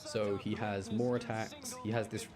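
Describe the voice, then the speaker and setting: A man's voice: lowish voice, little pitch movement, moderate pace. A man giving casual, self-deprecating live commentary as he streams a video game. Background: loud game music.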